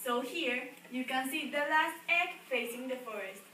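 Young woman, storyteller, high-pitched, bright, distant echoey recording with some noise. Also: moderate pace. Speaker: storyteller reading to schoolchildren. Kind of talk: read-aloud story with questions to listeners. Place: school classroom. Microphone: distant camera across the room. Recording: echoey room, distant mic, background noise.

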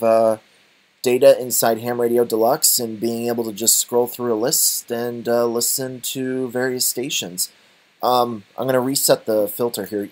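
A man giving an unscripted software tutorial, thinking aloud in a halting, tentative, low-key way. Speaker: tutorial presenter.

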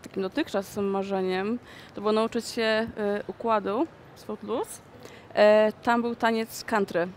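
A young woman, a dance choreographer, answering interview questions in a conversational way with frequent pauses, recorded in a shopping mall. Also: mid-range voice, steady tone, a little hiss or hum.

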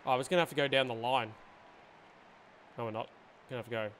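A young man with a hoarse voice, reacting excitedly and yelling while playing a football video game. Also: medium pitch, quick pace. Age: young adult. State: hoarse, says he lost his voice. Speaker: gaming YouTuber. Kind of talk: reactive gameplay commentary. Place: indoor gaming room, seated in gaming chair. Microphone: headset, facecam.

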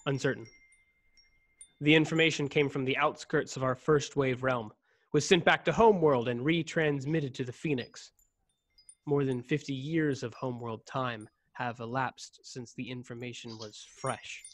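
A man's voice: mid-range voice, fairly even intonation, moderate pace, close to an everyday voice. A young man acting a proud, rigid, volatile starship ensign in a radio play, speaking formally and in clipped phrases. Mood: grave, confiding. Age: young adult. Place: recorded over a video call, close studio mic. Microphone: desktop microphone, headset worn.

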